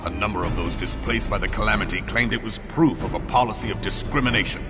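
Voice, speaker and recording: A man playing an authoritative military commander in a video game, his voice on the low side. He is stern and deliberate, choosing formal words with gravity. Loud music runs under the speech. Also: lightly acted. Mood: grave. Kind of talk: scripted video game cutscene dialogue.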